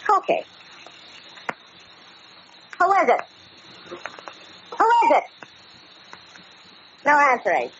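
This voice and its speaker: A woman's voice: sits high, wildly swooping pitch, slow, long pauses, fairly bright tone, heavily acted. A woman playing a brassy, nagging American gangster's moll in 1940s radio drama style, slangy and wheedling. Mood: anxious. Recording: very noisy, muffled old radio broadcast.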